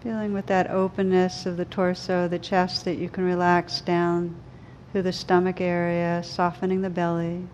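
A woman leading a guided meditation, speaking gently and soothingly in slow, unhurried phrases with pauses. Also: fairly low pitch, little pitch movement, lo-fi sound, a little hiss or hum. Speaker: meditation teacher.

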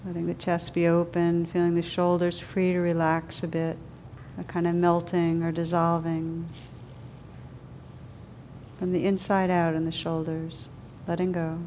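Woman doing guided meditation, lowish flat calm voice, gentle and slow, bit of background noise. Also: dull audio. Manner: slow, unhurried phrases with pauses; gentle, soothing. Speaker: meditation teacher.

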